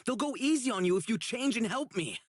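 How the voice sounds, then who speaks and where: A man's voice: very high-pitched, expressive, brisk, slight background noise. An actor playing an earnest, righteous young male public security officer in a video game, speaking emphatically. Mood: sincere persuasion. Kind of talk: video game character voice acting.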